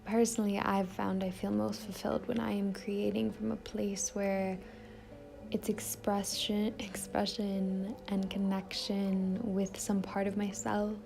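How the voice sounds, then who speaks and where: Woman, meditation guide, gentle and hushed, even pitch, gravelly fry, improvised flowing delivery. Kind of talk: impromptu guided meditation with casual rambling introduction.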